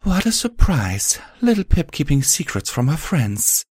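A man voicing a gentle, caring young mare healer who speaks softly and soothingly. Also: on the high side, big pitch swings, measured pace, husky, dry recording, studio quality, voice pushed up, full character voice. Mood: bitter.

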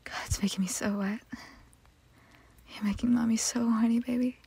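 A woman speaking in a soft, breathy whisper in an intimate roleplay style, slow and soothing. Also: fairly high pitch, steady tone.

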